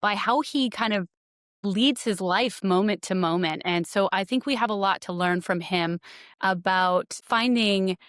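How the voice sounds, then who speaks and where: Clear-voiced woman hosting a podcast. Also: medium pitch, measured pace, a bit bright, no room sound, studio quality. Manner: enthusiastic, appreciative. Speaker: podcast host. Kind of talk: conversational interview hosting.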